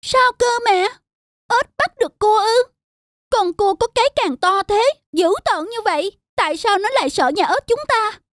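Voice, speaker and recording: A woman's voice: very high-pitched, expressive, normal speed, a bit bright, dry recording, clean studio sound. A woman voicing a naive, easily frightened frog child in a children's cartoon, childlike and plaintive. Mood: puzzled.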